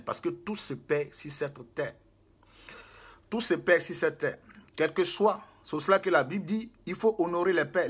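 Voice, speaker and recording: A man's voice: a little high, fairly bright tone, breathy edge. A man, preaching to a camera in a small room, emphatic and repetitive, gesturing as he speaks. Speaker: prophet and spiritual guide.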